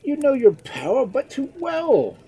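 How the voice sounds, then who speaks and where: Woman reader as an impetuous young nobleman, loud and pleading, storybook. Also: high-pitched, wildly swooping pitch, measured pace, pitched above the performer's own voice, full character voice. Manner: pleading, exclamatory. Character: passionate young male lord, impetuous suitor. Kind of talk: storybook reading.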